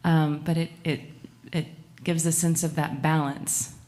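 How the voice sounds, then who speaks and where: A woman's voice: low voice, little pitch movement, a little slow, darkish tone. A woman from Alaska, a musician introducing a song on a concert stage, speaking reflectively with many hesitations. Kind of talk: casual onstage talk.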